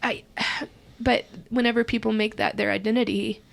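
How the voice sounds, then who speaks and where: A woman in her twenties with a soft, breathy voice, a podcast guest who talks hesitantly and searches for her words in casual chat. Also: on the high side, flat delivery, moderate pace. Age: 20s. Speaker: podcast guest, stage performer.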